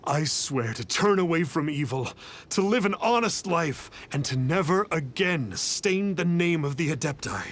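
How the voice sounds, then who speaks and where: A man's voice: fairly high pitch, animated, normal speed, a bit bright, slight background noise. A man voicing a reverent, earnest reformed trickster who idolizes a guardian, in a video game dub. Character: penitent adult man, reformed fake adeptus and superfan.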